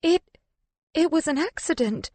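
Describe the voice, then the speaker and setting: A woman's voice: high-pitched, lively intonation, a little slow, darkish tone, no echo, voice pushed up. A woman voicing a guilty, panicked female lover in an audiobook, pleading in a whining, faltering, stuttering way.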